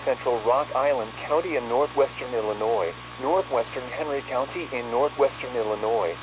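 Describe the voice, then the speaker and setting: A tinny, narrow-band radio voice with clear noise around it , a man acting as an official emergency alert announcer. He reads scripted warnings formally and impersonally. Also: mid-range voice, moderate pace, close to an everyday voice. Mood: impersonal, urgent.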